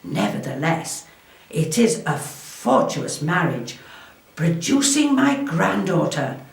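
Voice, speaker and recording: Woman as commanding Tudor noblewoman for a historical monologue: stately, unhurried, formal, with expressive sweeping intonation. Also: fairly low pitch, creaky, exaggerated voice. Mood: dramatic, proud. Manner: formal, deliberate, theatrical delivery. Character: proud, shrewd Elizabethan noblewoman, wealthy and commanding. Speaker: volunteer historical re-enactor. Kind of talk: scripted first-person historical monologue. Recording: echoey room, distant microphone.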